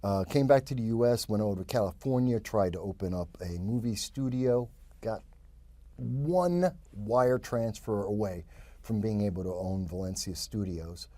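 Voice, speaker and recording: Fifties New Yorker, interview guest, husky and loose, full of restarts. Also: male voice, on the low side, moderate pace, mellow, no room sound. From the Bronx, New York. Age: 50s. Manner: rambling, frequent fillers and restarts. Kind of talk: casual interview talk.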